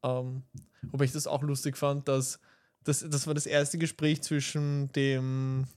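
A man's voice: medium pitch, flat delivery, measured pace, darkish tone. A man co-hosting a film podcast in casual chat, rambling with many fillers and restarts. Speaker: podcast co-host.

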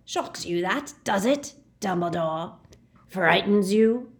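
A woman voicing a savage male werewolf with a rasping, barking voice, leering and menacing. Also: mid-range voice, expressive, takes it slowly, voice pushed up. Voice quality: rasping bark. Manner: leering, relishing.

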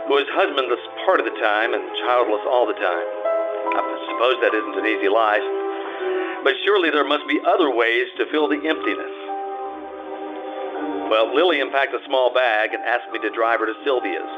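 An actor playing a laconic, skeptical private detective who also narrates, in an old-time radio drama. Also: male voice, mid-range voice, moderate pace, highs cut off, over loud music, close to an everyday voice. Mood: reflective. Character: dry, world-weary private detective.

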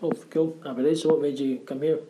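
Male interviewer, slightly higher voice, warm tone, light background hiss. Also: level tone, fast, muffled recording. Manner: chatty, laughs often. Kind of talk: casual interview.

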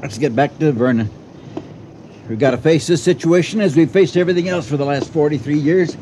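An actor playing an anxious but practical elderly husband in a radio drama, firm, measured and patronizing with his wife. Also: on the high side, dark tone, lightly acted. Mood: uneasy, steady. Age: older. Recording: noisy 1980 radio drama recording.

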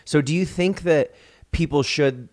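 A man's voice: a little high, a little slow, a little mellow. A man hosting a podcast, chatting casually with a guest, friendly and loose with fillers and restarts. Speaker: podcast host. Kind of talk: casual interview chat.

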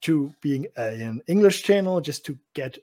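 A German man chats on a podcast in English, his second language. His voice sits a bit high and sounds husky. He often repeats words and laughs as he goes. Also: expressive, moderate pace, dark tone. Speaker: podcast co-host. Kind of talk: casual chat.

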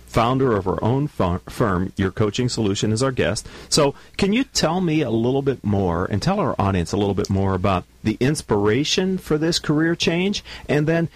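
A man's voice: medium pitch, normal speed, few pauses, no room sound. A man hosting a business talk radio show, opening with sponsor reads and interviewing a guest in a promotional style. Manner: lively, promotional host delivery.

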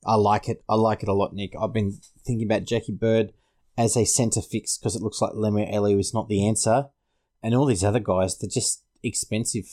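A man's voice: lowish voice, fairly even intonation, a little fast, dark tone. An Australian man hosting a sports podcast, casually discussing fantasy rugby league.

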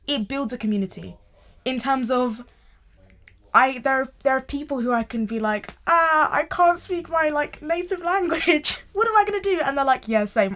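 A young British woman in her late teens with a British accent, talking in an animated, explanatory way. She says "like" constantly and tells her points as quick little stories. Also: sits high. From England, family from Ghana. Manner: animated, explanatory, uses "like" often. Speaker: discussion participant. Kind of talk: casual conversation.

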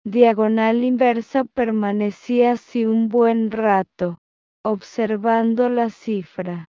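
Woman, higher register, warm and soft, dictating text with punctuation read out; dry, lo-fi sound. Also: steady tone, clean tone, dry recording. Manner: reads each phrase, then repeats it slowly, calling out punctuation. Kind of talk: reading a news text aloud as dictation.

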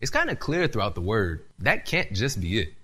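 A young man preaching a sermon to a church audience and reading scripture aloud, his voice slightly raspy, earnest and emphatic. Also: fairly low pitch, moderate pace. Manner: earnest, lively delivery with rising emphasis.

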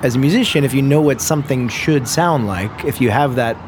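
A man in his thirties, a musician, telling stories in a rambling, animated way in casual conversation, laughing often. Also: medium pitch, brisk, noisy background. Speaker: musician and podcast guest.

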